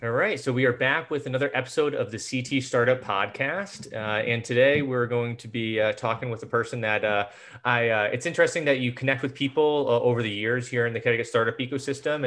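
Male podcast host, mid pitch, loose and filler-heavy. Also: a bit bright. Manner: filler-heavy, rambling questions. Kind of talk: casual interview hosting, and reading a sponsor message.

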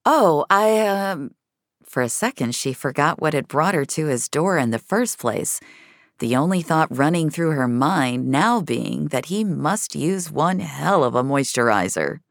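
Very low-voiced woman narrating fiction. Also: expressive, measured pace, creaky, no room sound, clean studio sound.

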